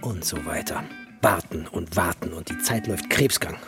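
A man's voice: low voice, normal speed, over music. An actor playing an embattled, stubborn construction boss in a radio play, emphatic and ironic in his rhetoric. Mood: frustrated, ironic. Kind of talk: stylised radio play dialogue.

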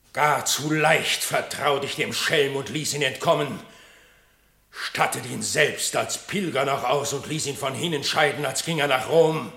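A proud, wronged king of the beasts in a radio play. He declaims slowly and gravely, with a forceful edge, in a roomy space. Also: medium pitch, full character voice. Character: stern, wronged animal king, proud and remorseful. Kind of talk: German radio drama acting.

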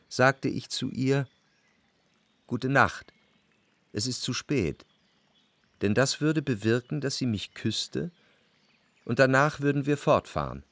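An actor playing an anxious, jealous lover who recalls his life in measured, introspective radio drama narration. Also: mid-range voice, fairly bright tone, no room sound. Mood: quiet, coaxing. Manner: measured, introspective, literary phrasing. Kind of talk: literary radio drama narration with quiet dialogue.

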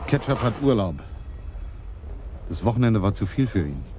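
An actor playing a plain-spoken patrol constable giving reports in a radio crime drama. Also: male voice, lowish voice, very animated, normal speed, warm tone, slight background noise. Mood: matter-of-fact. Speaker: police constable. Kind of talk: 1980s radio drama acting.

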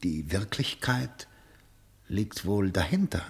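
A man's voice: low voice, animated, slow pace, heavily acted. An actor playing a stern middle-aged male chief prosecutor in a radio drama, formal and insistently probing.

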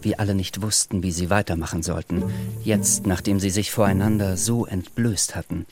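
A man's voice: low-pitched, steady tone, moderate pace, music in the background. A man giving scripted literary narration in a radio drama.

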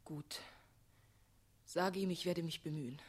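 An actress playing a sombre but defiant young woman in a radio play, with a breathy voice. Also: on the low side, unhurried, darkish tone. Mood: resigned. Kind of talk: German radio play acting.

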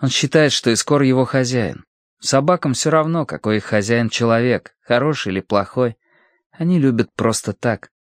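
A man's voice: mid-range voice, normal speed, no room sound, natural voice. A man reads out a thoughtful, resolute boy leader in a children's space adventure. The delivery is serious and firm, with a very dry recorded sound. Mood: sad.

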